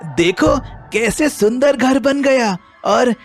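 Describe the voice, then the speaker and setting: A man voicing a poor villager in a children's story, with an expressive, drawn-out way of talking. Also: extremely high pitch, faint music behind, pitched above the performer's own voice, exaggerated voice. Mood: amazed, happy. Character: poor, worried, grateful male villager.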